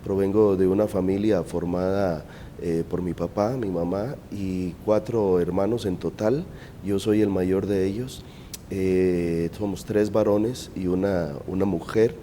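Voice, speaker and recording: A man's voice: low-pitched, fairly even intonation, measured pace, warm tone, a little hiss or hum. A Costa Rican man, a lawyer, telling his family story in an interview with hesitant fillers and repeated words. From Costa Rica. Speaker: guest, lawyer.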